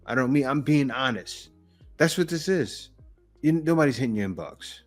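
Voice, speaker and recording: A man's voice: medium pitch, expressive, moderate pace, soft background music. Blunt man commenting on a clip. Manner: blunt, opinionated, talks back to the clip. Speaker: reaction video host. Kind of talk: casual commentary.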